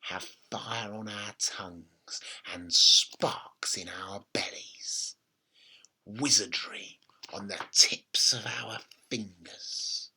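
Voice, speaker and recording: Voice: low-pitched, fairly even intonation, sharp tone, voice pushed down, exaggerated voice. A reader voicing a nasty, witchy old grandmother in a storybook, bossy and gloating, with a throaty voice and menacing emphasis. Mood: sinister whispering. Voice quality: throaty, raspy, whispery at times. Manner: snapping, slow menacing emphasis.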